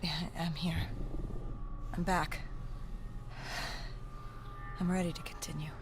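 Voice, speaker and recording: An actor playing an augmented soldier in a video game, haunted and resolute, recounting past missions in grave, measured storytelling. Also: mid-range voice, level tone, lightly acted. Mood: subdued, resolute. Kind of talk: dramatic game cutscene narration. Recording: music under the voice. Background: music.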